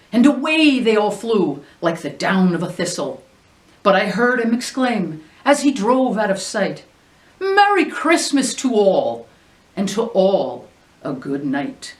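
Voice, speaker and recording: Woman reader, lively storybook delivery with big pitch swings, recorded a bit back from the mic in a roomy space. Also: far from the mic. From New Jersey, US. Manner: expressive storytelling with wide swings in pitch and loudness. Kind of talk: reading a Christmas poem aloud.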